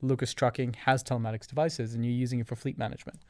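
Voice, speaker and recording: Measured man with a smooth voice. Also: medium pitch, little pitch movement, mellow, no room sound, clean studio sound. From Canada. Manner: measured, explains in ordered points. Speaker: guest, revenue operations leader. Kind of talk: interview answers.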